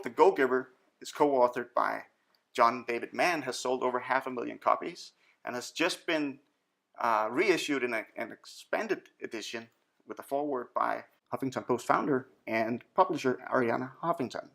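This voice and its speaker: Male podcast host, native Danish, upbeat and halting, mid-range voice. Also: mid-range voice, gravelly. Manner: enthusiastic, halting with fillers and restarts. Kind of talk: interview podcast.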